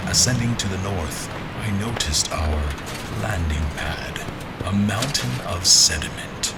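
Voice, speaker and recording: A man as a very deep-voiced general recounting adventure. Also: very noisy. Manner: measured, literary, dramatic. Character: seasoned male general narrating his sea adventure. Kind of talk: dramatic first-person scripted narration. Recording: music under the voice.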